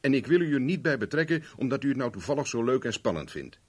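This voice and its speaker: Husky, wry private investigator. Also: male voice, medium pitch, sing-song, fast talker. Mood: firm, explaining. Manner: dry, teasing, self-mocking. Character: wry, cocky male private investigator for hire. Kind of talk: 1970s Dutch radio play acting.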